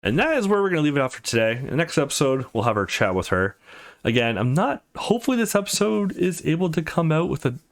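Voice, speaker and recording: Man with very animated, swinging intonation. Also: fairly high pitch, fast. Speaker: let's play host. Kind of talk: let's play commentary, reading dialogue aloud.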